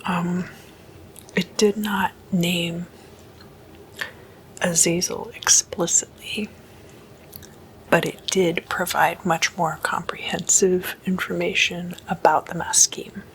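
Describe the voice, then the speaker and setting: A woman doing whispered ASMR as a host, hushed and deliberate, mostly in breathy, unvoiced whisper. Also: fairly low pitch, flat delivery, slight background noise, poor audio. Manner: slow, hushed, deliberate delivery.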